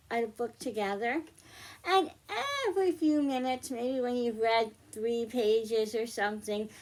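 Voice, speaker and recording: High-voiced woman teaching young children. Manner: instructional, patient step-by-step, simple repeated phrasing. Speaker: children's librarian, storytime host. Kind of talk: simple hands-on science demonstration for young children.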